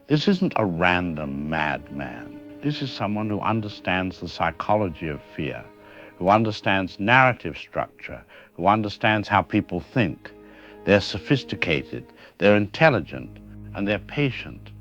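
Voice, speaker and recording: A man playing a professor interviewed as an expert in a radio drama, speaking in a measured, analytical way. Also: sits low, big pitch swings, fairly bright tone, exaggerated voice. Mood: calm, grave. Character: grave male professor explaining a killer's mind. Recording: dull lo-fi radio sound with faint music.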